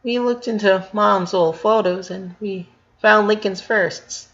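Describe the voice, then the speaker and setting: A man's voice: sits high, fairly even intonation, takes it slowly, a bit bright, lo-fi sound, voice pushed up, exaggerated voice. A man voicing a bubbly, scatterbrained, sweet teenage sister who talks in hesitant valley-girl phrasing in a story reading. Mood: proud, excited.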